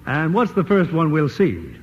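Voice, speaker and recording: A man's voice: a little high, huge pitch swings, normal speed. A man hosting a radio theater show, introducing guests and reading a sponsor message in a polished announcer manner. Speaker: radio host. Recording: muffled old radio broadcast with faint hiss.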